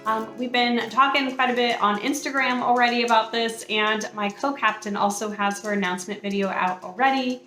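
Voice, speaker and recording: A woman hosting her book channel, chatting casually and excitedly to camera, a bit hoarse from a cold, with plenty of fillers. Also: on the high side, normal speed, few pauses, reverberant, faint music behind. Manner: bubbly, chatty, many fillers.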